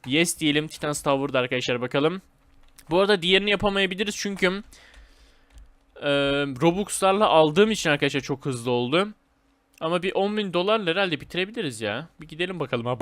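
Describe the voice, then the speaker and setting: A man hosting a gaming video with casual, excited commentary, speaking in slangy, repetitive phrases and addressing viewers as friends. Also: on the high side, fast talker, no room sound.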